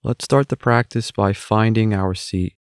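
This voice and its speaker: A man with a fairly low voice guides a meditation at a very slow pace. His delivery is calm and gentle, giving simple instructions with room between phrases. The sound is dry and close. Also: no room sound.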